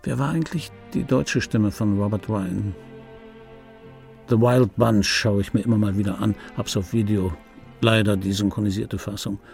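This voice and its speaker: An actor playing a middle-aged film dubbing actor in a radio play, reminiscing in a wry, pedantic, digressive way with a gravelly, husky voice. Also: male voice, lowish voice, steady tone, moderate pace, darkish tone, soft background music. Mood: pedantic musing. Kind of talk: radio play monologue, confiding first-person reminiscence. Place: dry radio drama studio.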